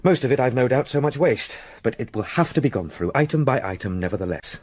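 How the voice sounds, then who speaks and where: A determined young English gentleman solicitor, played by a male actor in a period radio drama. He speaks formally and precisely, with a faintly rough edge and a dry, close sound. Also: medium pitch, animated, quick pace, no room sound, natural voice. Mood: brisk, businesslike. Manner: formal, articulate, precise Edwardian phrasing. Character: determined, polite young English solicitor, educated and stubbornly sceptical.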